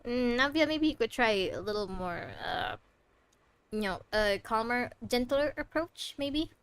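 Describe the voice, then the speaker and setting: A woman's voice: a little high, lively intonation, measured pace, fairly bright tone, clear, resonant tone. A woman doing casual game playthrough commentary, playfully teasing and talking back to the characters on a close microphone. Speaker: game streamer.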